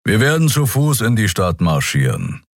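A man doing a gruff maned beast helmsman. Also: lowish voice, wildly swooping pitch, moderate pace, no room sound, clean studio sound, pitched above the performer's own voice, exaggerated voice. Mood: calm. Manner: gruff, grumbling.

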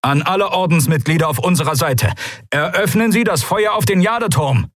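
A man voicing a determined male admiral shouting orders in the middle of a battle, urgent and commanding. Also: a little high, lively intonation, brisk, dry recording, clean studio sound, voice pushed up.

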